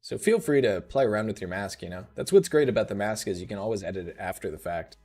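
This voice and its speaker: Man, 30s, live tutorial host; slightly rough, laid-back, lowish voice, faint music bed. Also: quick pace. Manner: relaxed, thinking aloud with fillers.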